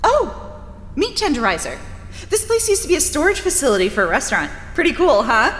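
A woman's voice: high voice, big pitch swings, a little fast, a bit bright. A woman acting in an audio drama as a gleeful, reckless female villain with a teasing, sing-song manner. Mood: gleeful, mischievous. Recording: roomy, echoing hall.

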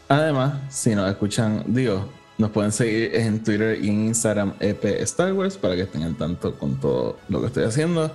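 A man with a low voice and a Puerto Rican accent hosts a podcast over music. He talks informally, like chatting with a friend, and drops English words into his Spanish. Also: a little mellow. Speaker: podcast host. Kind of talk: casual podcast chat.

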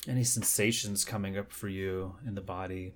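A man leading a guided meditation, speaking gently and unhurriedly in short phrases with long pauses. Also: low voice, fairly even intonation.

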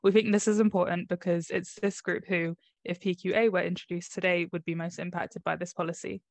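A woman's voice: on the low side, level tone, a little fast, vocal fry, a little hiss or hum. A woman co-hosting an education podcast, reading scripted links and reflecting thoughtfully on interviews.

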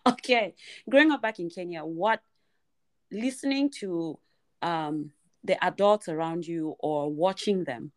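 A woman's voice: on the low side, unhurried. A woman co-hosting a podcast, chatty and laughing often as she talks straight to the listeners. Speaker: podcast co-host. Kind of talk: casual podcast chat.

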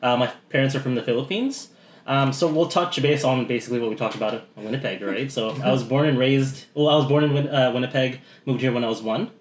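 A man's voice: medium pitch, quick pace. A man from Canada, co-hosting a podcast, telling a long story in a rambling way and laughing as he goes. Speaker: podcast co-host. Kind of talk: casual chat. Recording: echoey room with faint noise.

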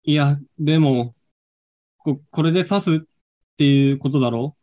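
A man's voice: fairly high pitch, normal speed, darkish tone, natural voice. A man playing a hesitant, loyal young friend in a student radio drama. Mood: anguished, hesitant.

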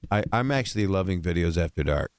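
Older male comedian, very low gravelly voice, loose rambling chat, dry studio sound. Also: animated, quick pace, no echo. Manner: rambling, slips into jokey put-on voices. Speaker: guest comedian. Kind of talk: casual podcast chat.

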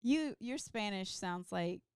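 A woman's voice: mid-range voice, expressive, takes it slowly, a little mellow, smooth, dry recording, clean studio sound. A woman guest on a podcast, telling a long story in casual chat, full of ums and side stories.

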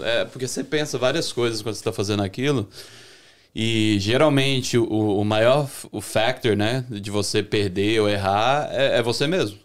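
A young Brazilian man with a Brazilian Portuguese accent, a chef chatting as a podcast guest in a relaxed way, often restarting his sentences. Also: fairly low pitch, clean tone. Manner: relaxed, talks with many restarts and self-corrections. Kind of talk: casual interview chat.

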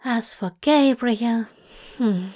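A gentle male angel in a dramatic story, played by a woman reader. He is fond and soft in manner. There is a timid streak in him. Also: on the high side, extremely slow. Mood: fond, reassuring. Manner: soft, reassuring, faltering when afraid. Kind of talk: dramatic audiobook-style fiction reading.

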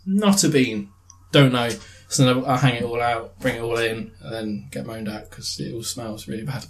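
A man's voice: medium pitch, normal speed. A young man with a blocked-up nose from a cold, chatting casually as podcast co-host, laughing often and swearing freely. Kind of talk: casual chat.

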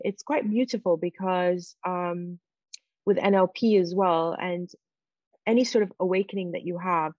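A woman with a fairly low voice tells her story in a close, dry recording with a muffled, lo-fi edge. Her first language is Farsi. She talks reflectively and hedges often with "sort of" and "you know". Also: a little mellow, dry recording. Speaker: guest. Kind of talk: personal story in an interview.

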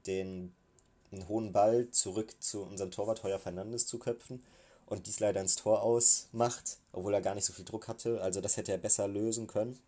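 A man with a cold giving a casual, hesitant recap of a football match as a fan, often pausing and thinking aloud. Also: fairly low pitch, dark tone. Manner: hesitant, many filler sounds, thinking aloud. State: has a cold.

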